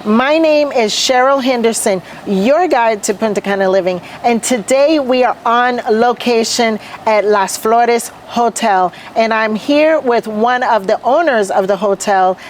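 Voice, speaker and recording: A woman hosts a podcast on location at a hotel, with an upbeat, casual manner and a fairly high voice. A faint background hum sits under her speech. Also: a little slow, hardly any pauses. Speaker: podcast host. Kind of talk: casual interview chat.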